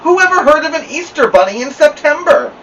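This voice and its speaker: A man's voice: very high-pitched, expressive, a little fast, a bit bright, recorded from a distance, slight background noise, voice pushed up, exaggerated voice, rough recording. A man voicing an exasperated, easily embarrassed young big sister in a story reading. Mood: whiny, dismayed.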